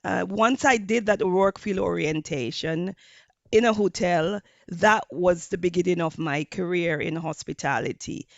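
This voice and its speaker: A woman from Jamaica with a Jamaican accent, a hospitality professor giving measured interview answers with frequent fillers. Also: fairly low pitch, vocal fry, no echo, lo-fi sound.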